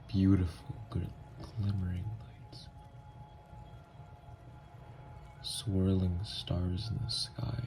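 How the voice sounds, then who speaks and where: A man plays a haunted young-blood vampire confessing in an intimate audio drama. His voice is very deep and gravelly with creaky fry. He speaks slowly and haltingly, often dropping toward a hushed breath, with anguish under every phrase. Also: full character voice. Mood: wistful. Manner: halting, hushed, breathy delivery with long pauses. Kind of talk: intimate ASMR audio roleplay monologue.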